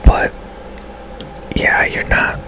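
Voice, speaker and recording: Slow, whispering man doing gaming ASMR. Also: bright, forceful tone. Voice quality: breathy, unvoiced whisper. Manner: casual, unhurried, halting. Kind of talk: whispered ASMR game commentary.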